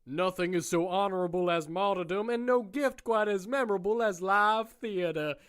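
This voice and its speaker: An actor playing a smug, condescending prison warden who speaks slowly and deliberately in an audio drama. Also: male voice, sits high, a bit bright. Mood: menacing. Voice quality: smooth, condescending, slow and deliberate. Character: smug menacing male prison warden, middle-aged.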